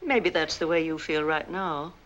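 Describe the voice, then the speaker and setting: A woman's voice: low voice, moderate pace, muffled recording, natural voice. An actress playing a middle-aged, calculating mother in a 1940s crime film, speaking in a curt, commanding way. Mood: cold, scheming. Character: cold, calculating scheming mother running a family plot.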